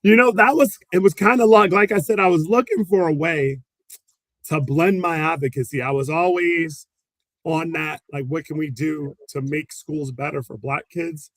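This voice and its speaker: Animated, laughing man telling stories. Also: a little high, normal speed, dry recording, low-quality recording. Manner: animated storyteller, laughs often, acts out quotes. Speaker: special education teacher and guest. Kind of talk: conversational interview answers.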